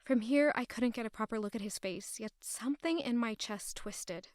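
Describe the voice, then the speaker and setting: A woman's voice: a little high, normal speed, darkish tone, dry recording, studio quality, lightly acted. A woman playing an anxious, self-doubting young noblewoman in an audio drama, with a dramatic inward delivery. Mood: wary. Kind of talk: audio drama narration and acting.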